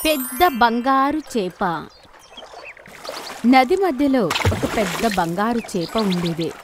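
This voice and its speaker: A woman narrating a children's animated moral story in a gentle, steady storytelling voice. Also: a little high, takes it slowly, music in the background.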